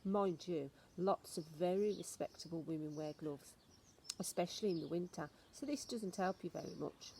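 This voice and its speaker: Storybook grandmother, played by a woman reader: old, stern, ominous, snappish, with a soft-edged tone and slight noise on the recording. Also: lowish voice, moderate pace, creaky. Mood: knowing, ominous. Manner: emphatic, instructive, snappish.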